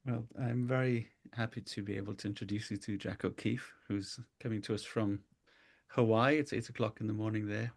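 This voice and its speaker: A host introducing a guest on a video call, talking in a halting, hesitant way. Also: mid-range voice, steady tone. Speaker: interview host. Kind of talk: conversational interview.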